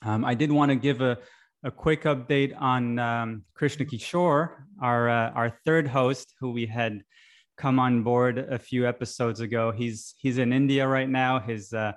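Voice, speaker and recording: A man's voice: mid-range voice, steady tone, measured pace. Hesitant, friendly male podcast co-host. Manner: friendly, hesitant, with many ums and restarts. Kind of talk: casual chat and interview hosting.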